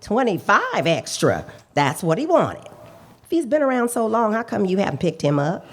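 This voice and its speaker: A woman's voice: medium pitch, very animated, normal speed, natural voice. A woman playing a feisty, talkative and opinionated witness in a radio police drama, speaking in emphatic, clipped sentences. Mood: indignant. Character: feisty, talkative female check-forgery witness, indignant and opinionated. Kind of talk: live radio play acting, police drama.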